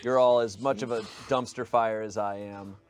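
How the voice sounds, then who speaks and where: A man's voice: fairly low pitch, steady tone, normal speed, soft background music. A young man on a studio card-game stream, joking in a self-mocking, laughing way as he picks a winner. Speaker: stream show cast member. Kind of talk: comedic improv during a card game. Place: studio set with a card table.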